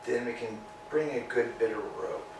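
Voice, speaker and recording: A man reading the part of a weary, glum middle-aged tramp in a staged play reading, with a flat, low-key delivery. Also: moderate pace, noisy background, close to an everyday voice. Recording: roomy room, mic far off.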